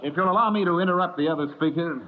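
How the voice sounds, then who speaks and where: An actor playing a brash, defeatist newspaper reporter in a vintage radio drama, blunt and argumentative. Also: male voice, on the high side, racing, a little hiss or hum, natural voice. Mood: challenging. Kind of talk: 1950s radio drama acting. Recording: tinny old radio broadcast.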